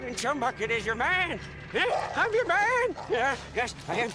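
A man's voice: very high-pitched, big pitch swings, unhurried, over loud music, heavily acted. A man voicing a manic, devout mechanic sidekick in a video game, fawning and repeating himself with feverish preacher-like zeal. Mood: eager, fawning. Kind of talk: video game character acting.

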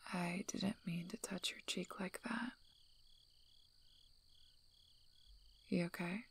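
A woman playing a guarded, gruff but caring young werewolf alpha in a soft-spoken ASMR roleplay, hesitant and often close to a whisper. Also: lowish voice, full character voice. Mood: awkward, guarded. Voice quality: husky, breathy, often near whisper. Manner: hesitant, halting, understated.

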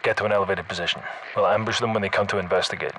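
A man's voice: low-pitched, steady tone, rapid-fire, sharp tone, rough recording. A man playing a battle-hardened Scottish special forces squad leader in a video game, giving terse, urgent military orders. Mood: low, controlled.

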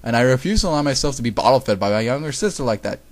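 A man voicing an irritable, studious teenage girl in an amateur story reading, loud, exclamatory and quick to protest. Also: medium pitch, expressive, close to an everyday voice. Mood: indignant.

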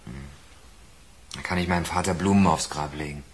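Young male inmate, deep voice, sardonic, bitter, radio crime play. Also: fairly quick, noisy background. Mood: sarcastic. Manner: sarcastic, dry. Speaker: radio drama actor. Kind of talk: naturalistic 1980s German radio crime drama acting.

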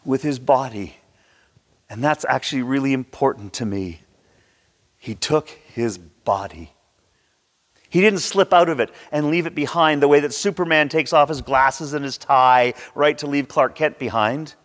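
A man in his sixties preaching a sermon with theatrical, emphatic delivery and dramatic pauses, recorded in a church. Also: mid-range voice, fairly bright tone. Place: large church sanctuary, indoors at a pulpit. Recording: reverberant church hall.

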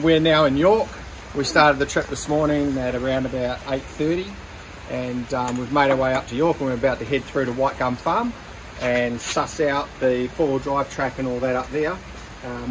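A middle-aged Australian man casually narrating a four-wheel-drive club trip to his phone camera outdoors, relaxed with lots of ums and dry humour. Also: fairly high pitch, recorded from a distance, background noise. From Western Australia. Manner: laid-back, many ums, dry humour. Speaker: club member filming a trip vlog. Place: outdoors at a rural campsite.

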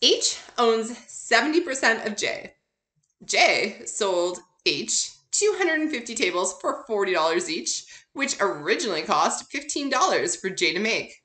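Bright-voiced woman lecturing in an echoey room. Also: medium pitch, big pitch swings, a little slow. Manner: conversational, informal. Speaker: accounting course instructor. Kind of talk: recorded lecture, explanatory with casual asides. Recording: roomy, echoey sound.

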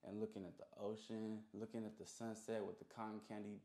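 A man's voice: lowish voice, flat delivery, brisk, warm tone. A young man chatting casually as an interview guest, in a slangy way with repeated emphatic phrases. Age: young adult. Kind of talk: casual chat. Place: small recording studio. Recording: roomy indoor echo.

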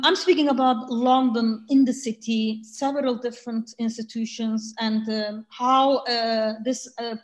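Woman, Turkish accent, forties, laptop video call, slightly high voice, hesitant, filler-heavy. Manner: halting, many fillers and restarts, gestures a lot. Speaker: panelist. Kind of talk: online panel talk. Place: room by a sunny window. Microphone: laptop mic on video call.

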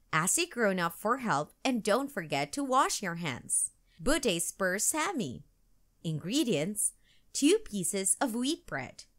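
A woman reads a children's book aloud. Her voice is very bright and moves animatedly up and down. She takes her time, telling it deliberately and expressively. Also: medium pitch. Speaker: storytime channel narrator.

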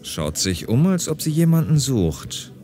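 A man plays a friendly, casual police pilot in an audio drama. The voice is mid-pitched and very varied in melody, with faint music behind it. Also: sing-song, unhurried, mellow. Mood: casual, dry. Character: easygoing male police pilot, friendly, dry colleague. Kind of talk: German audio drama acting.

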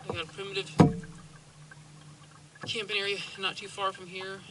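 A man's voice: high voice, level tone, moderate pace, heavy background noise. A young man talking casually on a kayak outing, rambling with restarts and fillers, filmed outdoors on the water by a camera mounted on the boat. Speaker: kayaking vlogger. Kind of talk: casual outdoor vlog talk. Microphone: action camera mounted on the kayak.

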